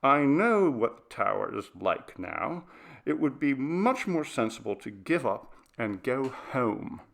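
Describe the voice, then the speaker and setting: Man reading as a curious, stubborn woman, gravelly edge. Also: medium pitch, very animated, slow pace, fairly bright tone, exaggerated voice. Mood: counting, uneasy. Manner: self-persuading, firm. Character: curious, stubborn woman talking herself onward. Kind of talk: audiobook character dialogue.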